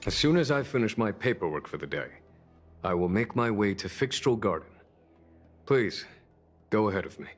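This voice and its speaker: An actor playing a calm, courteous, wry general in a video game, speaking in a measured, formal and unhurried way. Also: male voice, fairly low pitch, soft background music, close to an everyday voice. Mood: calm resolve. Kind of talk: game dialogue acting.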